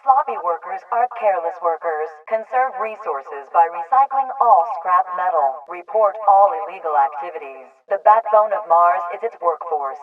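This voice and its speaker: A stern female colony announcer speaks over a public loudspeaker in a sci-fi game. Her delivery is official, measured and impersonal, with calm authority. The sound is thin and narrow like a radio, coming from some distance away. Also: on the low side. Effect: loudspeaker radio filter. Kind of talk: scripted propaganda broadcast in a video game. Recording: muffled, band-limited distant loudspeaker broadcast.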